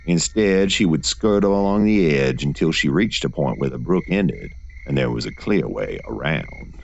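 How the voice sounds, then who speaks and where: A man reading scripted gothic horror fiction as a narrator, in a measured, literary storytelling manner. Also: extremely low pitch, lively intonation, gravelly, highs cut off.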